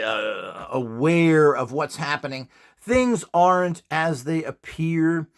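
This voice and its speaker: A man's voice: fairly high pitch, a bit bright. A man giving an informal, opinionated lecture in a blunt, scornful and emphatic manner, as a presenter selling devices. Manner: rhetorical questions, mimicked quotes. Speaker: presenter and seller of radionics devices.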